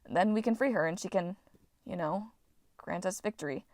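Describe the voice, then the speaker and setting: A woman's voice: lowish voice, a little fast, darkish tone, no echo, clean studio sound, close to an everyday voice. A woman voicing a cocky, joking teenage boy inventor in a storybook reading, offhand and wisecracking. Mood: smug.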